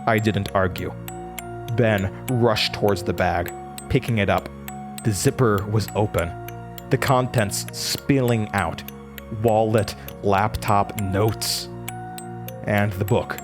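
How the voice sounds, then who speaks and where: Young male student narrator for horror audio drama: slightly low pitch, haunted, dramatic delivery, clear music bed. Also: slow pace. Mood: horrified. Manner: dramatic, haunted, stammers under stress. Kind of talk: horror audio drama first-person narration, acting out dialogue.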